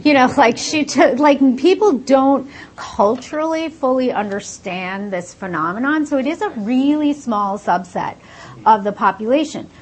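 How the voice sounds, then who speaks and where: Breathy woman explaining at length. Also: high voice, lively intonation, normal speed. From born in India, raised in the United States. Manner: explanatory, fluent, uses many "like" fillers. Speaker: researcher being interviewed. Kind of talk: casual interview answers.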